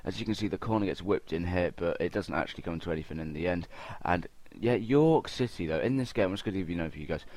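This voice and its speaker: A man's voice: low-pitched, fast talker, few pauses, darkish tone. A young man giving casual, chatty gameplay commentary, talking informally straight to his viewers. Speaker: gaming video host.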